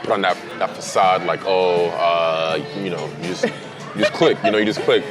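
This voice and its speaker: A young Nigerian man with a low voice talks in a relaxed, easygoing way, often repeating himself as he thinks. Music plays and a crowd murmurs around him outdoors. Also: normal speed. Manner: relaxed, laughs easily, repeats phrases. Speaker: dating game player. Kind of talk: casual conversation. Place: outdoor city restaurant. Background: music and chatter.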